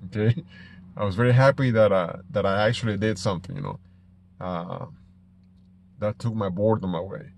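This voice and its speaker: A middle-aged man from Ecuador with an Ecuadorian Spanish accent, telling stories casually to camera in a halting way, repeating words as he goes. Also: on the low side, lively intonation, creaky, a little mellow, a little hiss or hum. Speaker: small business owner vlogger. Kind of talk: casual personal storytelling to camera. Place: inside a parked car.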